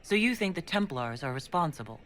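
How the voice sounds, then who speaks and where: A woman's voice: low voice, sing-song, fairly quick. A woman playing a level-headed dwarf hero in a fantasy game, asking questions in a direct way. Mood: questioning. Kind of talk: dramatic fantasy game dialogue.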